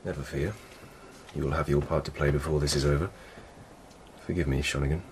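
An actor playing a blunt, defiant, dryly wry palace lieutenant of humble birth in a radio drama. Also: male voice, extremely low pitch, steady tone, brisk, darkish tone, a little hiss or hum. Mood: wry, confiding.

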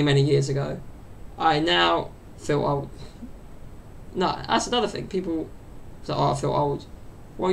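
A young man in his twenties talks on a solo podcast, meandering loosely and stuffing his talk with fillers. His voice sits fairly high with a gravelly, fry-edged texture. A faint low rumble hangs under the desk mic off to his side. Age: 20s. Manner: chatty and meandering, full of fillers. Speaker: podcast host. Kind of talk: rambling solo podcast chat. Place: small bedroom at home. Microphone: desk mic set off to the side.

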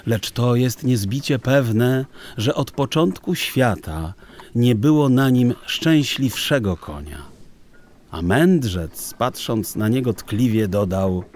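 A man narrating a children's story in an expressive, theatrical, deliberate literary manner. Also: mid-range voice. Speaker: audiobook narrator.

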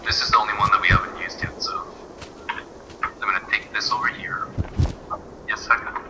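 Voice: medium pitch, fairly even intonation, moderate pace, very noisy. A player chatting casually during a family multiplayer video game, recorded at home. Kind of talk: casual gameplay chat.

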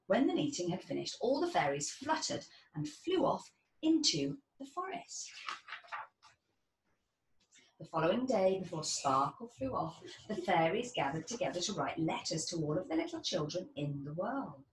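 A woman in her thirties reading a children's storybook aloud in an expressive, deliberate storytelling manner. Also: on the low side. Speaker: storybook reader. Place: small indoor children's playroom. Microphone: camera mic about a metre away. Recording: roomy, distant mic, faint background noise.

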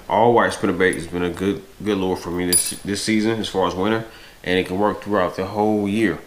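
A man's voice: low-pitched, slight background noise. An American man in his thirties talking casually to camera at home, his voice stuffy and congested from a cold. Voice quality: stuffy nasal voice. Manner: frequent throat clearing. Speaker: fishing vlogger. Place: home dining room with large windows.

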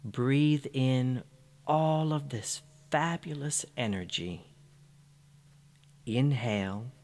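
A man narrating a scripted guided meditation in a soothing, gentle manner. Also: mid-range voice. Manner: soothing, unhurried, gentle phrasing.

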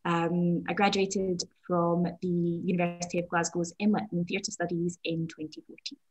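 Flat-toned woman on a video call. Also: fairly low pitch, normal speed, breathy edge, low-quality recording. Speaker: digital communications manager, panelist. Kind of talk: panel talk.

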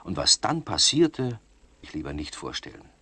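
An actor as the storyteller of a children's radio play, addressing young listeners directly. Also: male voice, deep voice, big pitch swings, moderate pace, pushed and bright, breathy edge, no echo, heavily acted. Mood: engaged storytelling. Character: storyteller narrating a children's tale. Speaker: narrator.

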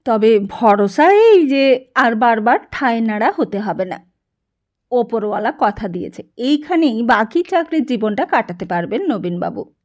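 High-voiced woman narrating a story. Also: big pitch swings, measured pace, a little mellow. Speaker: audiobook narrator. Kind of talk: expressive scripted story narration.

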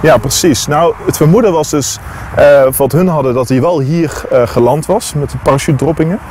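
A man's voice: on the high side, fairly quick, a little mellow, slight background noise. A man in his thirties telling his story in a documentary interview, hesitant with many fillers and restarts. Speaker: metal detectorist interviewee. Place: studio against plain backdrop.

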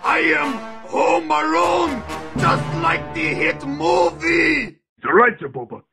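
High gravelly cartoon whiner. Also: male voice, sing-song, exaggerated voice. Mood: anxious self-reassurance. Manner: bellowing, drawn-out, repeating himself. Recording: music playing behind the voice.

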